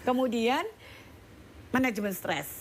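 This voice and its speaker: A woman's voice: a little high, lively intonation, measured pace. Woman doctor, tv studio guest, chatty explaining tone, slight background hum. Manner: chatty, explains with casual fillers. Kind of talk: explanatory health talk.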